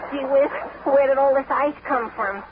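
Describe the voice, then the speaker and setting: Teen sidekick played by a man, high, naive and grumbling, vintage radio comedy with phone-like noisy sound. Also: moderate pace, a crowd in the background, close to an everyday voice. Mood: boyish, fretful. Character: gee-whiz, grumbling teenage boy sidekick. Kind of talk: 1940s radio comedy acting. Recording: noisy, tinny 1940s radio recording.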